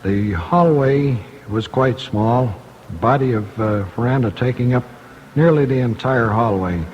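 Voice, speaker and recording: American man, halting formal police report, average pitch, dull old recording with faint hiss. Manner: halting, matter-of-fact. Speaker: homicide detective. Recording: muffled old radio, faint noise.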